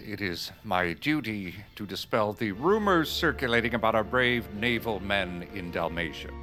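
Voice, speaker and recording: An actor playing a middle-aged, pompous Secretary of State who is easily flustered, speaking formally in an audio drama. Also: male voice, mid-range voice, bright, forceful tone. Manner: formal, emphatic.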